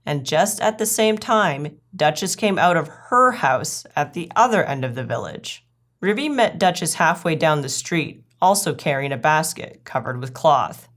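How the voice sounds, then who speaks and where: A woman's voice: deep voice, animated, moderate pace, fairly bright tone. A woman storyteller reading a scripted children's tale aloud as narration.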